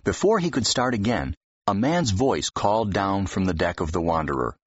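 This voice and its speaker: A man narrates a novel in a low, husky voice. His reading is expressive, with wide melodic movement, and the recording is dry and a little dull. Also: normal speed, dry recording. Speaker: audiobook narrator. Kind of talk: expressive scripted fiction narration. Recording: dull, slightly muffled audio.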